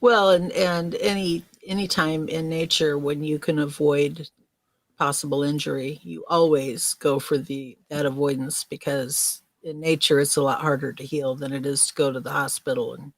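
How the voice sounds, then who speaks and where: A woman's voice: low voice, fairly even intonation, normal speed, dry recording. A woman talking casually on a podcast panel, with a self-deprecating, joking manner. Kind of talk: casual conversational discussion.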